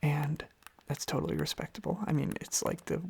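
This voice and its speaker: Whispering, creaky ASMR rambler. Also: medium pitch, steady tone, brisk. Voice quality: whispered, breathy. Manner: sleepy, gentle rambling, repeats phrases softly. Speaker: ASMR video creator. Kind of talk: ASMR, softly rambling casual chat while tapping on objects. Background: tapping and clicking on objects.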